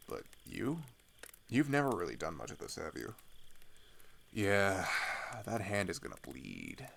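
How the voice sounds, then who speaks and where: Male actor as open-hearted teen runaway climber, ASMR roleplay. Lively intonation, halting and confiding, gravelly, some background noise. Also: low-pitched, exaggerated voice. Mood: earnest, cautioning. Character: open-hearted teenage male climber fleeing across a border, weary but caring. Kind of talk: ASMR roleplay audio drama.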